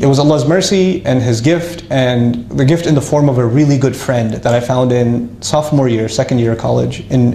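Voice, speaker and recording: A man casually telling his life story to an audience, lively and self-mocking, with frequent restarts. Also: mid-range voice, reverberant, slight background noise.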